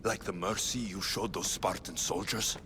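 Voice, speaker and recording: A man's voice: low voice, fairly even intonation, moderate pace, bright, forceful tone, a little hiss or hum. A man playing a tough, wry ancient Greek mercenary in video game cutscenes, speaking bluntly with dry menace. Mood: menacing, sardonic.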